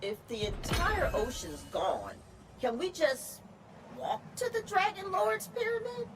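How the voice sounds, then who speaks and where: A woman playing a questioning player in a fantasy video game, with a drawn-out delivery. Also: very high voice, big pitch swings, noisy background, exaggerated voice. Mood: skeptical. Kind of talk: animated video game acting. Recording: clear background ambience.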